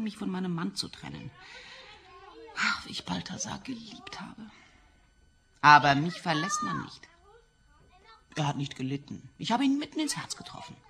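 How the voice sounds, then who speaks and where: A woman's voice: medium pitch, background noise. An actress in a radio play playing a bitter, possessive woman in love, sardonic and dramatic, with sighs. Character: bitter, possessive scientist's daughter, confessed killer in love.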